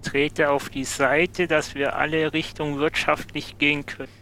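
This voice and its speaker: A man's voice: on the high side, little pitch movement, unhurried, bright, forceful tone. A man role-playing as a player in an improvised audio adventure, using his own voice. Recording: faint background noise and ambience.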